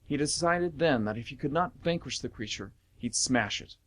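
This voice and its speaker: A man's voice: medium pitch, animated, normal speed, a bit bright. A man reading dramatic story narration as the narrator of an amateur radio play.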